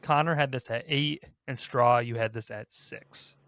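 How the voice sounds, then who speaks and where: A man's voice: mid-range voice, a little slow, fairly bright tone, no echo, studio quality. A man hosting a casual group discussion, talking in a rambling, self-correcting way. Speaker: podcast host.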